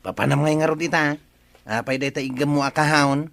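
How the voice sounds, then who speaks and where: An actor with an Ilocano accent playing a gruff village man in a comedy radio drama. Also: a little high, fairly even intonation, brisk, fairly bright tone. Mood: dismissive.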